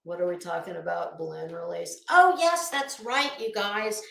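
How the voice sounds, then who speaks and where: A woman's voice: lowish voice, normal speed, gravelly, fairly bright tone. A woman hosting a casual livestream, talking to her viewers in a blunt, sarcastic, scornful way and swearing freely, in a roomy, echoing recording. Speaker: livestream host.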